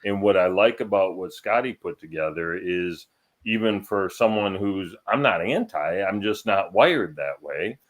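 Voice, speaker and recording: Lower-voiced guy cohosting, joking around, pokes fun at himself. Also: expressive, a little slow. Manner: joking, self-deprecating. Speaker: podcast co-host. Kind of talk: casual podcast chat.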